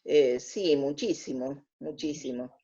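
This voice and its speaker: Woman, Argentine accent, lowish pitch, dark tone, interview guest, halting explanations, muffled lo-fi sound. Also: slightly rough. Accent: Argentine Spanish. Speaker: interview guest, radio drama researcher. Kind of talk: conversational interview answers.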